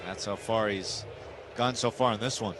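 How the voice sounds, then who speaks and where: A man's voice: fairly low pitch, moderate pace, background noise. A man doing live radio play-by-play commentary of a baseball game from a broadcast booth.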